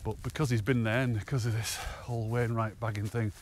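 A man's voice: mid-range voice, steady tone, fairly quick, a little mellow, slight background noise. Chatty man hiking outdoors. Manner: chatty, upbeat, laughs often. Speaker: hiking vlogger. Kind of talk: casual walking commentary to camera. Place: open windswept fell top outdoors.

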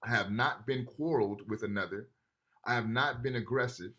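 Guy teaching a class on a video call, bright voice, laid back, keeps saying right?. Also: medium pitch, normal speed. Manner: casual, repeats "right?", reads texts aloud. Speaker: lecturer. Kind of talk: university lecture over video call.

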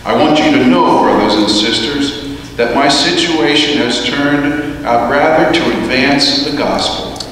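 A man serving as lector, reading scripture slowly and deliberately in a church. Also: medium pitch, expressive, fairly bright tone, slight background noise. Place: large church sanctuary. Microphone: far room microphone. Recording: echoey church recorded from far off.

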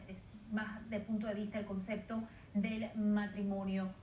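A woman's voice: on the high side, a little mellow. A woman news anchor in a TV studio, poised, asking long probing questions. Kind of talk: TV news interview. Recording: roomy, distant, faint noise, played off a TV.

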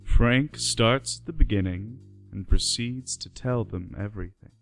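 A man with a fairly low voice narrates a radio play from a script. Music plays behind him. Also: unhurried, creaky.

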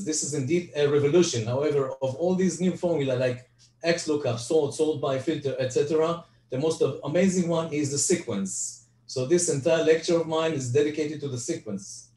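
An older Hebrew-speaking man, giving an online spreadsheet lecture from home over a headset, halting with frequent restarts. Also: fairly high pitch, a little mellow. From Israel. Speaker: guest presenter. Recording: echoey, distant video call audio.

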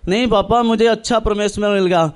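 A young man from northern India tells his religious testimony earnestly, in halting, repetitive phrases, acting out remembered dialogue. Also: high voice, steady tone, clean tone. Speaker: church member giving testimony. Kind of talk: personal religious testimony, storytelling.

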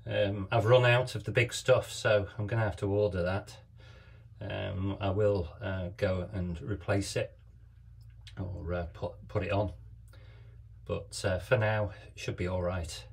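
A man in his fifties filming himself in a small boat cabin on a phone, explaining a wiring job in a relaxed, hesitant way with many fillers. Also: low-pitched, unhurried, gravelly, breathy edge. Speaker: sailing vlogger. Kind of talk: casual how-to vlog. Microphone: handheld phone camera.

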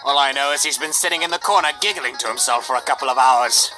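A woman voicing a calm, protective skeleton big brother in a cartoon comic dub, measured and dry. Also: very deep voice. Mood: wry. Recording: played-back video with music behind.

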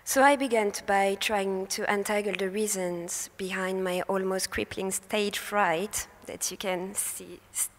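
A young woman from France with a French accent, giving a prepared talk into a handheld mic from a lectern. Her voice is soft and airy, and she hesitates and corrects herself now and then. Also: medium pitch, fairly even intonation, unhurried, few pauses. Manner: hesitant, with fillers and self-corrections. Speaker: speaker presenting research. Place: festival talk stage in a large hall. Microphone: handheld microphone at a lectern. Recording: long hall reverb.

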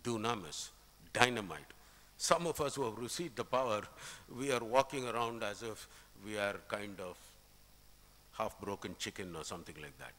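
Male preacher, middle-aged, Indian accent, emphatic teaching delivery, sharp bright tone, long church reverb. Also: on the low side, lively intonation. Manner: emphatic, explanatory, deliberate phrasing. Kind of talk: expository sermon with scripture readings. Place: indoor church, at a pulpit. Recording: reverberant church hall sound.

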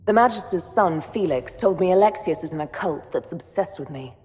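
A woman's voice: on the low side, expressive, brisk, darkish tone, slightly rough. An actress playing a wry, cool-headed young elf woman envoy in a video game, dry and self-possessed. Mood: matter-of-fact. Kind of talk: video game cutscene acting. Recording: long hall reverb, music underneath.

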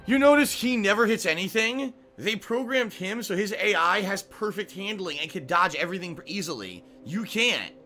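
A man's voice: high-pitched, expressive, moderate pace, few pauses, a little hiss or hum. A man in his thirties streaming a game from a small room on a headset mic, ranting and swearing in frustration. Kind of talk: live gameplay commentary. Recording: game sounds loud behind voice.

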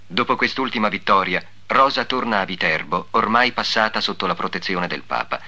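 A man's voice: fairly low pitch, a little fast, very noisy. A man narrating in a formal, declamatory 1950s devotional documentary style, with solemn delivery. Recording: old 1950s soundtrack, music behind.

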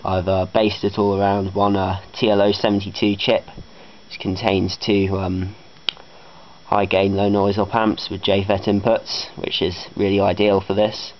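A man's voice: on the low side, completely flat delivery, a little slow. A man giving a casual, unscripted technical demonstration, speaking hesitantly and matter-of-factly into a handheld camera indoors. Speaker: hobbyist electronics builder. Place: carpeted room indoors. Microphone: handheld camera microphone. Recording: dull lo-fi camera audio with faint noise.

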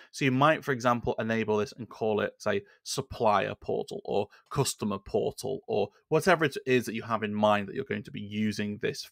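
Gravelly, mid-pitched man teaching software. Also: no echo, studio quality. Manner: relaxed, conversational, with frequent fillers. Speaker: software tutorial host. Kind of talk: screen-share tutorial. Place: small indoor room at a desk. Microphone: studio microphone on a boom arm.

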